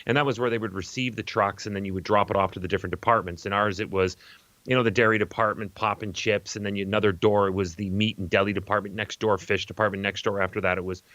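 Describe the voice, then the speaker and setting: A man's voice: on the low side, steady tone, fast talker, a bit bright, no echo, lo-fi sound. A middle-aged man co-hosting a comedy show, telling rambling, exclamatory stories in casual chat. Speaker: co-host of a live comedy film-riffing show. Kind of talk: casual chat, comic storytelling.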